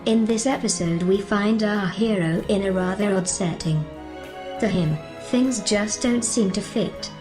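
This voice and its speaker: A woman's voice: medium pitch, measured pace, natural voice. A woman playing a polite stand-in narrator, reading scripted narration for an audio serial. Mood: matter-of-fact. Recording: music under the voice.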